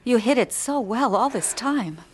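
A woman's voice: on the high side, animated, unhurried, husky. An actress playing an affectionate, practical girlfriend in a radio drama, sincere and easily hurt. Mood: concerned.

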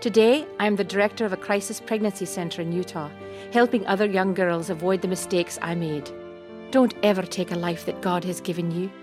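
A woman from Scotland reading her personal testimony in a plain, steady storytelling manner. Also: fairly low pitch, a little fast. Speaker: testimony speaker. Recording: music bed behind the voice.

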